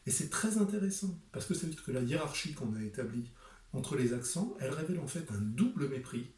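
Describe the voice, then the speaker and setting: A man's voice: fairly high pitch, expressive, brisk, darkish tone, reverberant, far from the mic. A middle-aged man presenting his book to camera, speaking emphatically and rhetorically with frequent hesitations.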